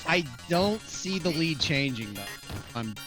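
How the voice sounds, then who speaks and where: Esports co-caster with a mid-pitched, widely swinging delivery, casual and chatty over clear music. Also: sing-song, measured pace. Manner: casual, conversational. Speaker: esports co-commentator. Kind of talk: casual gaming commentary. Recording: game music behind the voice.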